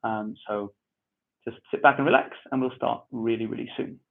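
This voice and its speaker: A man from England with a Manchester accent, hosting an online webinar and calmly giving welcome and housekeeping instructions. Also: mid-range voice, normal speed, gravelly. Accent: Mancunian English. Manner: friendly, repetitive, relaxed instructions. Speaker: webinar host. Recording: tinny phone-like online audio.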